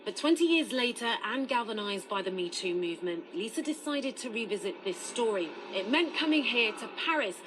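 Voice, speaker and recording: A woman's voice: fairly high pitch, lively intonation, fairly quick, hardly any pauses, background noise. A woman narrating a scripted television news report as a correspondent.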